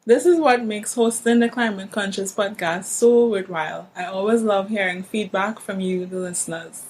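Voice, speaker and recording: A woman's voice: medium pitch, dark tone. A young woman from the Caribbean hosting a podcast, reading her introductions in a formal, polished way and interviewing a guest. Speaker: podcast host.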